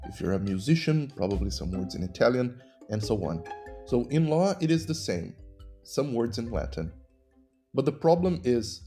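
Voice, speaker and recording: A man with a Brazilian accent, a native Portuguese speaker, hosting a podcast and explaining legal ideas in measured, teacherly narration. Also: medium pitch, mellow. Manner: measured, didactic. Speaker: podcast host and human rights lawyer.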